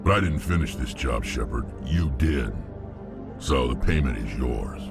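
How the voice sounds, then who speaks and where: An actor voicing a huge, gruff alien bounty hunter in a video game, gravelly, blunt and menacing. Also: male voice, expressive, heavily acted. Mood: grim, menacing. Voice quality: gravelly, rumbling. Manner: terse, blunt, slow menace. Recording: game audio under loud music.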